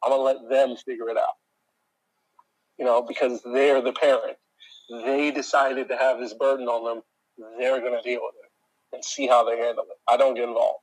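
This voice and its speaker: A man with a deep voice that carries a faint huskiness. He tells a story from his job as a long, exasperated rant, in loose, casual chat aimed straight at his listeners. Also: little pitch movement, normal speed, rough recording.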